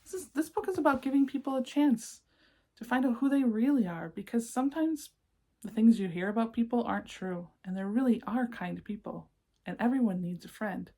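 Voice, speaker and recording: Female children's read-aloud presenter, slightly husky, gentle and encouraging, talks straight to the young listener. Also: a little high, a little fast. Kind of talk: expressive storybook reading with asides to young listeners.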